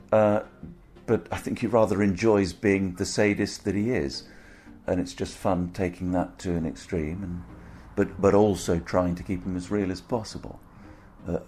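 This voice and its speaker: A man discusses his acting in a relaxed interview. His voice is very low and he phrases things thoughtfully and a little hesitantly, with quiet music behind. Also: normal speed. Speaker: actor being interviewed. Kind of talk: casual behind-the-scenes interview.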